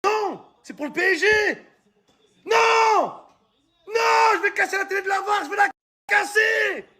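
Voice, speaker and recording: A football fan, a man, shouting frantic exclamations in a live reaction to a match. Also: very high voice, unhurried. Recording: echoey room with crowd noise.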